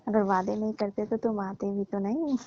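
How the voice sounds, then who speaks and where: A woman's voice: mid-range voice, moderate pace, dark tone, lightly acted. A woman playing a coaxing, teasing middle-aged auntie who persuades and placates in a staged comic phone call. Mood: defensive, teasing. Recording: dull, dry lo-fi phone recording.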